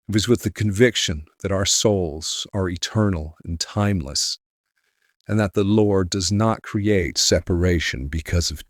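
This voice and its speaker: An actor playing a weary, gentle and steadfast priest in an audio drama, speaking solemnly and earnestly. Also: male voice, low voice, no room sound, clean studio sound.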